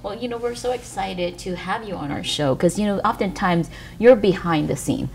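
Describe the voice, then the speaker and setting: A woman's voice: medium pitch, moderate pace, creaky, a little hiss or hum. An American woman hosting a community TV talk show, chatty and upbeat, using lots of "you know"s. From United States. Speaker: TV show host.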